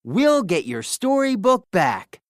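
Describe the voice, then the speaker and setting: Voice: medium pitch, huge pitch swings, slow pace, no room sound, studio quality. A storybook narrator voicing a brave, friendly young boy pirate leader with eager, exclamatory delivery. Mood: reassuring. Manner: enthusiastic, exclamatory.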